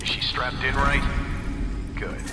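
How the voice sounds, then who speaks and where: An actor playing a sadistic interrogator in a game, taunting and deliberate. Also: male voice, medium pitch, monotone, close to an everyday voice. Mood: coldly menacing. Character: coolly sadistic male interrogator. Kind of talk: game dialogue. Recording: echoey hall, distant, loud music.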